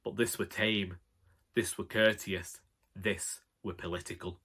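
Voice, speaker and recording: A man's voice: low-pitched, steady tone, unhurried, sharp tone, breathy edge. A man with a Northern English accent narrating a comic first-person story in a wry, colloquial way. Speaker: audiobook narrator.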